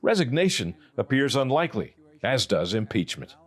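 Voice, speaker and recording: An American male reporter narrates a news story from a script. His voice sits at a medium pitch, and he delivers each line as formal broadcast narration. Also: big pitch swings, moderate pace.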